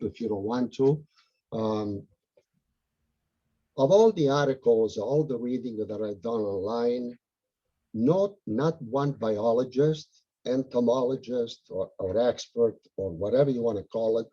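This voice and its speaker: A man's voice: mid-range voice, a little slow, dark tone, slightly rough, muffled recording. A man with an Italian accent, a local butterfly group organizer, talking earnestly and rambling with many fillers on a video call.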